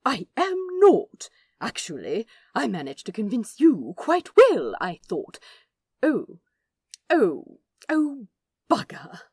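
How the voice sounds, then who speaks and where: A woman's voice: on the high side, wildly swooping pitch, slow pace, a little mellow, husky, no room sound, studio quality, voice pushed up, heavily acted. Woman voicing a prim, lilting male angel. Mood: indignant, flustered. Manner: lilting, genteel, proper, hesitant when flustered. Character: prim, fussy, polite male angel, easily flustered.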